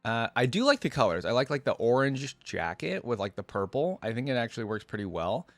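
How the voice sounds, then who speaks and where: A man's voice: medium pitch, sing-song, fairly quick, dry recording. A man hosting a reaction video, chatting in a laid-back way as he comments and reads aloud. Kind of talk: casual commentary with read-aloud passages.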